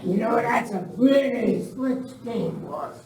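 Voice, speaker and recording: A woman's voice: fairly high pitch, expressive, slow. A woman playing a bumbling, flustered henchman in a Western radio play. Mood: eager. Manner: stammering, flustered. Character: bumbling, nervous henchman outlaw, flustered by the boss. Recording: echoey hall, distant mic, faint noise.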